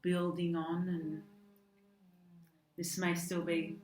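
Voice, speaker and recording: Slow-talking Australian woman, voice fading. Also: on the low side, flat delivery. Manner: slow, chatty, with soft laughs and "um" fillers. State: losing her voice. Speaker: tarot card reader. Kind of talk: casual direct-to-camera tarot reading. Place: home room with garden work outside. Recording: echoey room, faint outdoor garden work noise.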